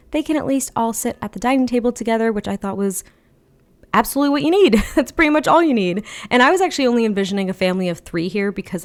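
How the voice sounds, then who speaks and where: A woman giving a chatty voiceover for a home-building video, slightly hoarse and a little raspy, laughing often between rambling asides. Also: mid-range voice. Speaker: Sims build video creator. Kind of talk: casual voiceover commentary.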